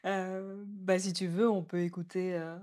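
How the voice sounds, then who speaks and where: A woman talks as a podcast guest in a somewhat low voice. She speaks gently and kindly, pausing often with hesitant fillers. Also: little pitch movement. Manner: hesitant, many "euh" pauses, kind and gentle. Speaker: guest music producer. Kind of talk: casual podcast conversation.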